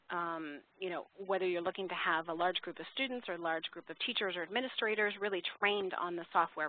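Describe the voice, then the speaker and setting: Over a narrow phone-like line with no room sound, a woman presents a webinar. Her voice sits fairly low. She explains things informally, answering questions and thinking aloud as she goes. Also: no echo. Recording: tinny, dry phone-line audio.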